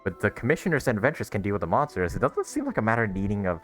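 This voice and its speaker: A man's voice: fairly low pitch, sing-song, very fast. A man hosting a gaming video, giving casual, playful let's play commentary as he reacts aloud to the game. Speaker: gaming video host, streamer. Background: game music.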